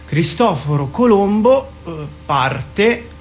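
Cheeky schoolboy, very high voice, evasive and teasing stage dialogue, some background noise. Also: male voice, sing-song, exaggerated voice. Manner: teasing, drawn-out mocking reading, evasive. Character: cheeky, stalling schoolboy struggling with his studies. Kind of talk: stage comedy-drama dialogue.

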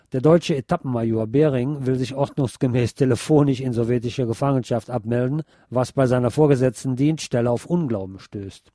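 Mellow male radio host, dry sound. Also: mid-range voice, no echo. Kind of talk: scripted radio narration.

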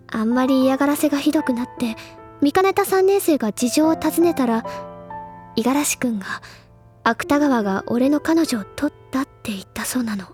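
An actress playing a spirited, caring teenage schoolgirl with a feminine, expressive, gently insistent manner in a Japanese audio drama. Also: high voice.

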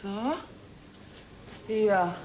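A woman's voice: medium pitch, extremely slow, darkish tone, slight background noise. An actress playing a busy, friendly mother in a naturalistic German audio drama.

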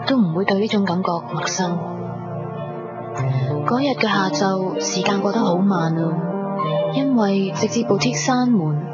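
A woman's voice: medium pitch, natural voice. A woman playing a shy, lovelorn young shop assistant in a Hong Kong Cantonese radio drama. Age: young adult. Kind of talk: 1990s radio drama acting and inner narration. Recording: muffled 1990s radio, loud music behind. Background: music.

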